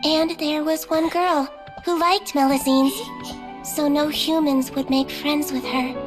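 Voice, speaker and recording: A woman voicing a small, gentle young girl nurse of a fairy-like species, polite and composed, in anime video game dialogue. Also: high voice, fairly even intonation, takes it slowly. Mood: storytelling. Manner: composed, courteous, matter-of-fact. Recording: music bed behind the voice.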